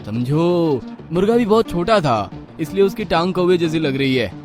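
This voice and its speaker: A man's voice: fairly high pitch, sing-song, normal speed, over music. A man voicing a greedy, scheming street food seller in a cartoon moral story, theatrical and full of exclamations. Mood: smooth, reassuring. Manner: exclaiming, theatrical, talks to himself. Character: greedy, scheming male biryani seller, wheedling and defensive. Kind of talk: animated Hindi cartoon moral story acting.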